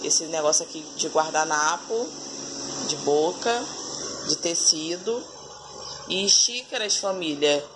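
A woman's voice: low-pitched, animated, slow pace. Chatty Brazilian woman vlogging at home. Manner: chatty, keeps calling viewers "família". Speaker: family vlogger. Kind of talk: casual home vlog narration. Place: home kitchen and dining room. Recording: noisy home phone recording.

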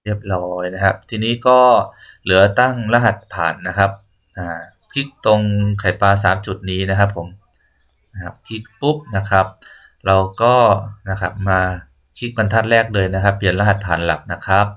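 Low-voiced man giving a halting tutorial. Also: a bit bright. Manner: halting, polite, step-by-step instruction. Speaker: tutorial presenter. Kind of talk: spoken software walkthrough, unscripted.

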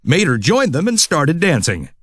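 A man's voice: on the high side, wildly swooping pitch, moderate pace, fairly bright tone, husky, dry recording, clean studio sound. A man reading scripted narration for a children's read-along storybook.

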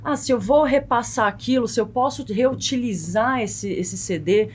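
Brazilian woman explaining, rumbly background noise. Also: normal speed. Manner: explanatory, peppered with tag questions like "né" and "tá". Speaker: guest legal expert. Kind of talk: conversational expert explanation on a podcast. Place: small foam-padded podcast studio. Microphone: close studio mic on boom arm.

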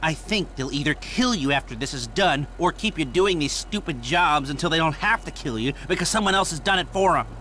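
A man's voice: fairly high pitch, animated, fairly quick, few pauses, bright, forceful tone. A man playing a dry, cynical criminal planner in a video game, articulate and sardonic. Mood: matter-of-fact, sardonic. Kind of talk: video game dialogue acting. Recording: car and room noise behind.

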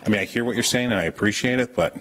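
A man's voice: fairly low pitch, racing, crowd noise. A man speaking as a town official at a public board meeting, explaining his position firmly with frequent restarts, picked up by a room camera across the meeting room. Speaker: town official presenting an ordinance.

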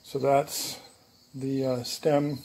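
A man filming himself in his home garage, explaining a canoe build in a casual, relaxed way with plenty of ums and uhs. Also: mid-range voice, extremely slow, a little hiss or hum. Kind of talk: casual, unscripted workshop vlog. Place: home garage and driveway, outdoors at times.

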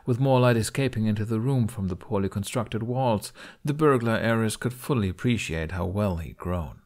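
A man's voice: lowish voice, moderate pace, darkish tone. A man reading scripted fiction as an audiobook narrator.

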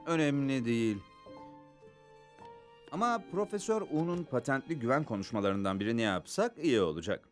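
A man's voice: mid-range voice, sing-song, moderate pace, soft background music, close to an everyday voice. A man voicing a kindly, fatherly scientist in a cartoon dub, speaking in an earnest, lecturing manner. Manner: earnest lecturing, turns flustered. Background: cartoon score.

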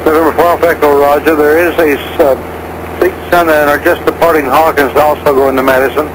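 A man's voice: a little high, little pitch movement, a little fast, noisy background. A man working as an approach controller, giving clipped, businesslike instructions over aviation radio. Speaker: air traffic approach controller. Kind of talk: air traffic radio instructions.

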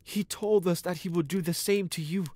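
A man's voice: sits high, steady tone, normal speed, darkish tone, no echo, pitched above the performer's own voice. A man voicing shamed, frightened servants pleading with their king. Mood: anxious. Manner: pleading, tearful.

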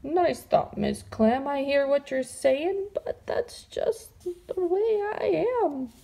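A woman voicing a glum, gloomy male fish in a storybook read-aloud, sulky and slow. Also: high voice, gravelly, mellow, full character voice.

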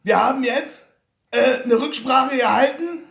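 A man's voice: very high voice, a little slow, vocal fry, a bit bright, full character voice. A man voicing an earnest, bumbling production contact on a prank call, officious, stammering and repeating himself. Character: earnest, bumbling high-voiced German male TV production contact. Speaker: prank caller. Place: living room sofa, indoors. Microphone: camera at short distance in room. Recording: echoey room, faint noise.

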